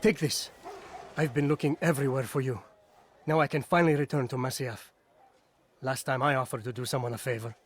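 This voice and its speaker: Weary male courier, game role played by an actor, grumbling, medium pitch, faint noise behind. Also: steady tone, brisk, long pauses, close to an everyday voice. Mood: exasperated. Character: weary messenger grumbling about a favor he did. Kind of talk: video game dialogue.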